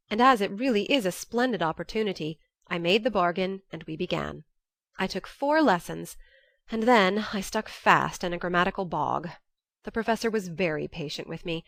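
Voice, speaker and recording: A woman reading scripted first-person literary narration for an audiobook, telling it in a measured, expressive way. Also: medium pitch, no echo.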